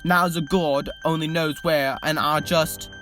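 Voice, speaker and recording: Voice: medium pitch, fairly even intonation, a little slow, a bit bright, faint music behind, lightly acted. A reader doing a frazzled young bandmate. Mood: anxious. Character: anxious young male pop singer calling everyone lad. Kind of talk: reading fan fiction aloud.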